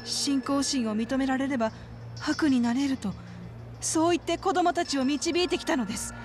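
Woman acting a troubled, polite middle-aged woman, higher-voiced. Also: a little mellow, faint music behind, natural voice. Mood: troubled, confiding. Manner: polite, measured, halting. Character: earnest, troubled middle-aged woman caretaker of children at religious facility. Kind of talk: dramatic game cutscene acting.

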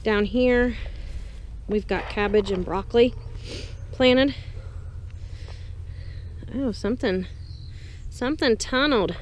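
A woman vlogger giving a chatty, casual walk-through of her garden, pausing between short phrases. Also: a little high. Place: small greenhouse and open farm garden, outdoors. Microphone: action camera's built-in mic, worn or handheld. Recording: outdoor handheld action camera with background noise. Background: clear ambient outdoor noise.

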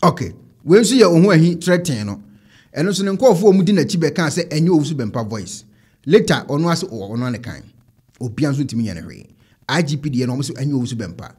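A man's voice: on the high side, big pitch swings, fairly quick, darkish tone, husky. A man in his thirties with a Ghanaian accent, hosting a casual reaction show and talking to camera. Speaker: online reaction show host. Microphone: close desk microphone.

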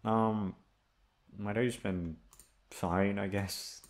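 A man's voice: fairly low pitch, fairly even intonation, extremely slow. A young man chatting casually and hesitantly to his listeners, rambling, swearing and putting himself down. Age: young adult. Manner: hesitant, self-deprecating, sweary. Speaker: audio roleplay voice actor chatting to listeners. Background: music.

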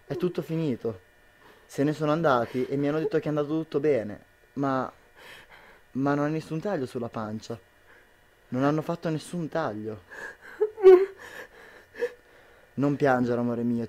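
A man's voice: medium pitch, a little mellow, natural voice. A young man playing a devoted, anxious husband in an amateur radio drama, earnest and tender. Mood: tender, worried. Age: young adult.

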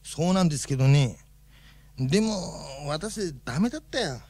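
A man's voice: on the high side, lively intonation, moderate pace, a little mellow, no room sound. A man playing a folksy, fussy alien official in a radio drama, explaining things in a colloquial way. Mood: apologetic.

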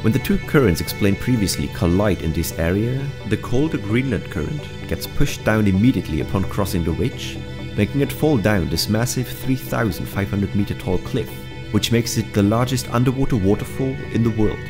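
A man reading scripted documentary-style narration for an educational explainer, in a measured, explanatory manner. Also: on the low side, expressive. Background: background music.

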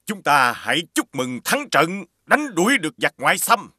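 A man's voice: on the high side, big pitch swings, moderate pace, pushed and bright, dry recording, clean studio sound, exaggerated voice. A man voicing a stately king and father in a children's cartoon, formal and declamatory. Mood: proclaiming, celebratory.

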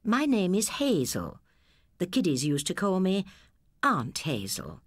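A woman voicing a kindly old English lady shopkeeper in a storybook tale, with a soft, slow, inviting manner. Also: lowish voice, wildly swooping pitch, breathy edge, no room sound, clean studio sound, heavily acted.

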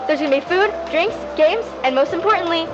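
A young woman reads school announcements in a bright, chipper manner. Her voice is high and sits over clear background music. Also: expressive, brisk, dull audio. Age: young adult.

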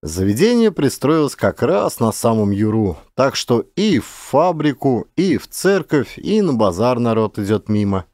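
A man's voice: medium pitch, big pitch swings, unhurried, hardly any pauses, darkish tone, dry recording, studio quality. A man narrating a literary story in a dramatic, scripted style. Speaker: audiobook narrator, actor.

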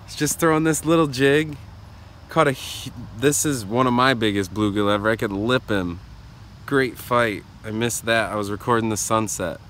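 A young man filming a casual fishing vlog outdoors by a pond, talking in a laid-back way with excited bursts when a fish bites. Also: medium pitch, moderate pace, slight background noise. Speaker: fishing vlogger.

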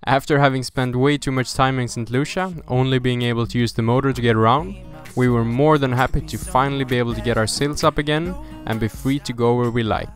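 Brief: thirties man, mid-pitched voice, steady travel narration, music bed. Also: brisk, hardly any pauses. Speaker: sailing vlogger narrator. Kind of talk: scripted voice-over narration. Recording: voice-over with music behind it.

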